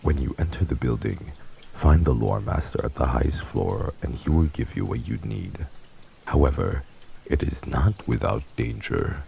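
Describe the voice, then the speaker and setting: A man playing a heartless, commanding vampire mafia boss in an ASMR audio drama, gravelly and speaking in a formal, grave, measured manner. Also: extremely low pitch, big pitch swings, mellow, slight background noise, exaggerated voice, rough recording. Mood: stern, commanding.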